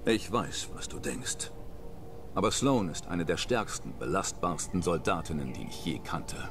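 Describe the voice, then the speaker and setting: A resolute game commander with a low voice, briefing solemnly and with measured authority. Music plays clearly behind him. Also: male voice, big pitch swings, full character voice. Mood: grave, determined. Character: grave, resolute male military commander briefing a soldier. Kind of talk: scripted game narration and mission briefing.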